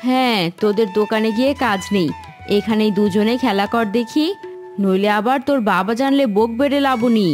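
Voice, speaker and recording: A woman playing a practical, motherly village wife, gently bossy, in a fairy-tale cartoon. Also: on the high side, moderate pace, soft background music, lightly acted. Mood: motherly, firm. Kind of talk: Bengali moral-tale cartoon dialogue.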